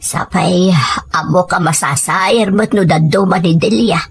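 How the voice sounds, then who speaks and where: A woman's voice: lowish voice, measured pace, husky, pitched below the performer's own voice, full character voice. A woman voicing a concerned, plain-spoken elderly grandmother in a dramatic family story. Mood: worried. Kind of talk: dramatic Ilocano radio-style storytelling.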